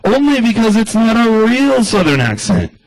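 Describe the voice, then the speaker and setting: A man's voice: very high-pitched, big pitch swings, moderate pace, dry recording, rough recording. A man doing a rambling stand-up comedy monologue in the style of a radio address, loose and chatty, full of asides.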